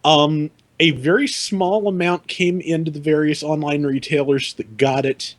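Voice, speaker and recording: A man on a casual panel, giving news in a measured, tentative way. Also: fairly high pitch, steady tone. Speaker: podcast panelist. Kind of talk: casual discussion of hobby news.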